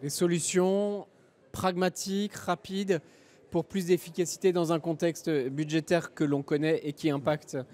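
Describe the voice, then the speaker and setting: A man working as an interviewer, summing up and putting questions in a measured way. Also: a little high, flat delivery, a little mellow.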